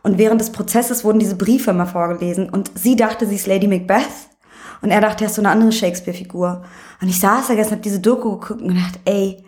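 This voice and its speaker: Candid woman chatting in a medium-pitched voice. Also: steady tone, fast, darkish tone. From Berlin, Germany. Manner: colloquial, candid. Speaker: interview guest, music promoter. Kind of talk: casual chat, personal storytelling.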